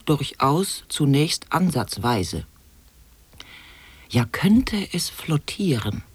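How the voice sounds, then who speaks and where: A solemn, absurd wordplay monologist in an experimental radio play. The voice is husky and breathy, very slow and halting, placing each word deliberately and sinking at times toward a whisper. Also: male voice, on the high side, no room sound.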